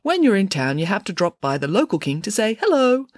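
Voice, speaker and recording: A woman's voice: mid-range voice, big pitch swings, brisk, dry recording, studio quality, pitched above the performer's own voice, lightly acted. A woman voicing a polite, naive, slightly pompous foreign king in a storybook, with a formal, guileless manner. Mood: chatty, oblivious.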